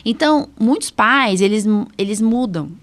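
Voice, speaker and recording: A woman's voice: fairly high pitch, expressive, normal speed. A woman with a Brazilian accent hosts a medical podcast in a conversational way. She explains things plainly and informally. She often tacks on short questions to keep her guest with her. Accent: Brazilian Portuguese. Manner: explanatory, checks in with frequent tag questions. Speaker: podcast host, allergist doctor.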